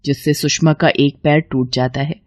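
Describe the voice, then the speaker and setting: A woman's voice: low-pitched, fairly even intonation, normal speed, muffled recording. A woman narrating a scripted cartoon family story as the storyteller.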